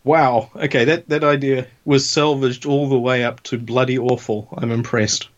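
A man chats casually and brainstorms, keeping a wry, joking edge. His voice sits at an ordinary middle pitch. The podcast audio is dull and lo-fi. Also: fairly even intonation. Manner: wry, quick to joke at ideas. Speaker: podcast co-host.

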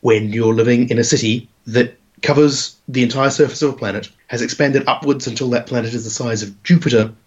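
A man with a croaky voice in casual chat, laying out a game idea with long, rambling setups. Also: mid-range voice, darkish tone. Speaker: podcast co-host. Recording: dull lo-fi podcast audio.

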